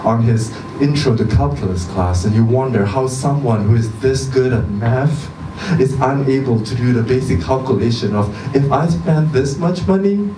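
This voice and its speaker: A man giving a measured, deliberate live reading of a short story, with pauses between phrases. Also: mid-range voice. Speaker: reader at a literary event. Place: indoor social venue, live event. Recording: distant mic, echoey venue, background hum.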